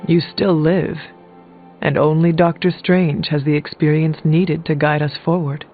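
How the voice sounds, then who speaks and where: An actor playing a steadfast, loyal warrior in a video game, speaking formally and earnestly in plain words. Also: male voice, a little high, level tone, unhurried, close to an everyday voice. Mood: earnest, reassuring. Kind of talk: video game character dialogue. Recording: muffled game audio under music.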